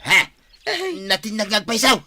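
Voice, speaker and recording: A man's voice: high-pitched, fairly even intonation, measured pace, sharp tone, full character voice. A man with an Ilocano accent playing a teasing village friend in an audio drama. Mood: urgent.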